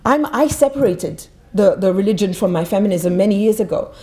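Woman from Egypt, middle-aged, podium speaker, mellow voice, fervent and emphatic delivery. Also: medium pitch, animated, fairly quick. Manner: passionate, emphatic, repeats words when restarting. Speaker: guest speaker. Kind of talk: public talk at a podium. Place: auditorium stage. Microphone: podium mic.

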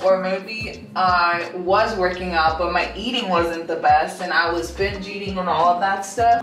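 A woman's voice: lowish voice, far from the mic. A young woman in her twenties talking earnestly and casually about her fitness goals as a vlogger. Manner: earnest, reflective, chatty with frequent "like". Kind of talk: casual vlog voice-over and talk to camera. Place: home bathroom and bedroom, indoors. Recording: roomy sound from off the mic, music behind. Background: soft background music.

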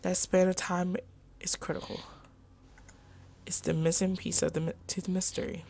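A woman's voice: fairly low pitch, little pitch movement, moderate pace, vocal fry, darkish tone. A woman hosting a let's play, reading visual novel dialogue aloud in a dramatic, acted way. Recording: music playing behind voice.

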